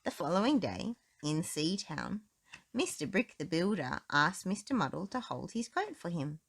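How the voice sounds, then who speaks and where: A woman narrating a children's storybook aloud in an expressive style. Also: lowish voice, moderate pace, vocal fry, husky.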